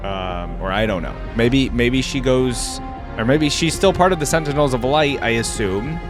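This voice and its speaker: Male gaming reactor, mid-pitched voice, chatty, thinks aloud, clear music underneath. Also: normal speed. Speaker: host of a gaming reaction channel. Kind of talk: casual reaction commentary. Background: game theme music.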